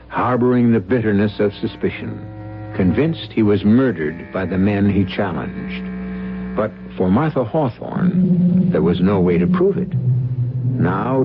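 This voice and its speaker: Male mystery narrator, very low voice, theatrical and deliberate, muffled old radio sound with music underneath. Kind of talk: scripted dramatic narration. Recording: dull old radio broadcast, music behind.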